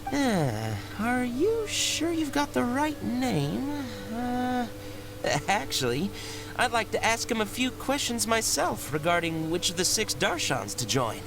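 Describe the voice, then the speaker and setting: Hesitant, high-voiced young student. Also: female voice, big pitch swings, takes it slowly, background noise, full character voice. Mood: unsure. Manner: hesitant, polite. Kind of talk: video game voice acting.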